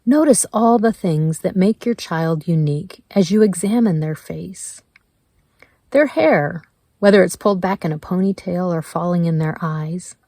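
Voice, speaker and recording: A woman leading a guided meditation in a gentle, measured way, leaving pauses between her prompts. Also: fairly low pitch, no echo, studio quality. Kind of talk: slow guided meditation narration.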